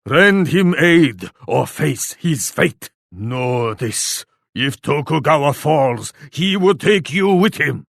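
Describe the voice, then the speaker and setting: A man's voice: fairly high pitch, animated, slow pace, gravelly, hardly any pauses, dry recording, full character voice. Grim japanese warlord for a strategy game, solemn and grand, takes his time, very dry close sound. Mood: menacing, imperious. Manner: solemn, declamatory, speaks of himself in third person. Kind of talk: theatrical video game voice lines.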